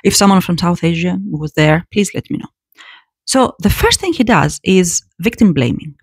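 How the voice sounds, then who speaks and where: Woman commentator, lower voice with a lot of swing in it, dry close sound, restarts phrases as she talks. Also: moderate pace, husky, no echo. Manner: animated, repeats words and restarts phrases. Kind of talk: casual spoken commentary.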